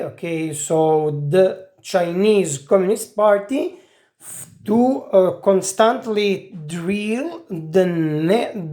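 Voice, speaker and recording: Woman, 40s, low-pitched, very slow, halting monologue to camera. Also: mellow. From Croatia. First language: Italian. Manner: halting, searching for words, many restarts. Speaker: IT specialist.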